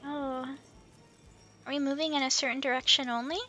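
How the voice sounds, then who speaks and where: A woman chatting casually on a game livestream, giggly and excitable, full of exclamations. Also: high voice, normal speed. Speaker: streamer, host of the show. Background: background music.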